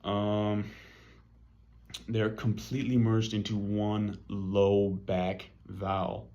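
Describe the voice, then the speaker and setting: Slow, low-voiced LA guy. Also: flat delivery, warm tone, muffled recording. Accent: Los Angeles. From Los Angeles. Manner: casual, slangy, with many fillers. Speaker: student presenter. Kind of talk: class presentation.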